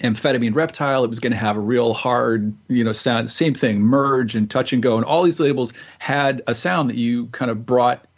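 A man's voice: mid-range voice, moderate pace, few pauses, muffled recording. A man guest telling stories in a casual interview, easygoing and rambling with lots of restarts. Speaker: guest author and musician.

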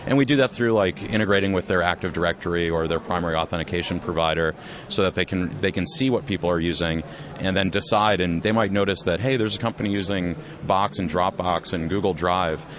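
A man who co-founded a software company, explaining his business in a measured, conversational interview at a trade expo. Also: low-pitched. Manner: measured, explanatory, with frequent restarts.

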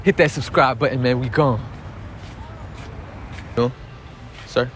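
A young American man hosts a prank vlog in loose, slangy talk. His pitch is average, and there is some noise around him as he records on location. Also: slow, a bit bright. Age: young adult. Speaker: prankster host of a video channel. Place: hardware store and its car park.

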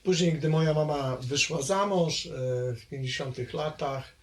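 An older man recalling his family history in a halting way, full of pauses and hesitations. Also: a little high. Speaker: interviewee, longtime local resident. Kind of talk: oral history recollection.

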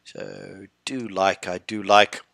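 A middle-aged man talks casually into a headset mic while streaming a game, teasing his chat and joking about himself. His voice is low-pitched yet bright and forward, and the sound is close and completely dry. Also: steady tone, slow, creaky, no echo. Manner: chatty, playful, reads chat aloud and teases viewers. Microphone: headset with desk mic.

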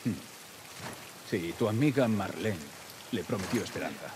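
A man's voice: lowish voice, expressive, moderate pace, background noise, natural voice. A man playing a terse, guarded middle-aged survivor in a video game, with a Castilian Spanish accent. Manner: terse, gruff. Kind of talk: naturalistic video game acting.

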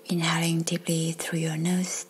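A woman softly narrating a scripted guided meditation in a breathy, near-whispered, soothing delivery. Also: sits low, completely flat delivery, measured pace, a little hiss or hum. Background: music.

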